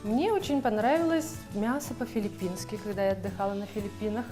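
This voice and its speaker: A woman's voice: mid-range voice, fairly quick. Chatty young woman on a cooking show. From Yakutia, Russia. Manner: chatty, hesitant, many fillers. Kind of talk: casual chat while cooking. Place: TV studio kitchen. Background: music.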